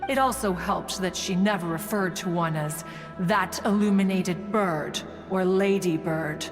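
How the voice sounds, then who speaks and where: A proud, ancient female immortal, acted by a woman, telling stories in lofty, archaic phrasing. The voice sits in long reverb with clear music behind, like a fantasy game cutscene. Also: lowish voice, measured pace, natural voice. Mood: wry, fond. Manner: formal, archaic, haughty, calls herself "one". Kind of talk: theatrical storytelling in a fantasy game.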